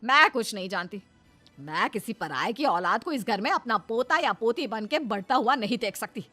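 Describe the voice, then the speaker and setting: A woman's voice: fairly high pitch, lively intonation, normal speed, sharp tone, faint music behind. A woman voicing a domineering older mother-in-law in a cartoon story, with a scolding, insistent and commanding manner. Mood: stubborn, defiant. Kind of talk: Hindi cartoon story voice acting.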